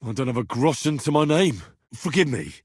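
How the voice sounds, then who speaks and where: A young medieval man from a video game, stubborn and cheeky. His voice is husky and sits at a middling pitch, recorded close and dry. He talks in a blunt, earthy, conversational way. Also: lively intonation, a little fast, darkish tone, no echo. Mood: pleading. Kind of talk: video game character dialogue.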